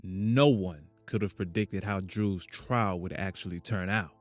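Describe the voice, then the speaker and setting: A man narrates an audio drama in a low voice. He keeps an even, storytelling delivery throughout. Faint music plays beneath him. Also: unhurried. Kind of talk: scripted narration.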